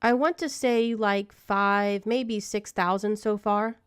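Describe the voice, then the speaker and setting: A woman's voice: medium pitch, fairly even intonation, takes it slowly, smooth. A woman answering questions in a legal deposition in a guarded, clipped manner. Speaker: plaintiff being deposed.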